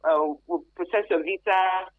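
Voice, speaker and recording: A woman's voice: lowish voice, a little slow, dry recording. A woman with a Nigerian accent explaining things conversationally as an interview guest, often repeating phrases for emphasis. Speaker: radio guest, anti-trafficking expert. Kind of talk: informal explanatory interview answers. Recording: muffled lo-fi radio sound, dry.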